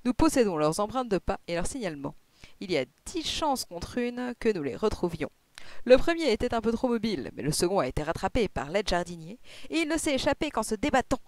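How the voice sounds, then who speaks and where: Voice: medium pitch, very animated, brisk, no room sound. A reader voicing a male police inspector from an audiobook detective story, formal, earnest and emphatic. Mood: earnest, eager. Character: solemn, eager police inspector, a man, chatty and official.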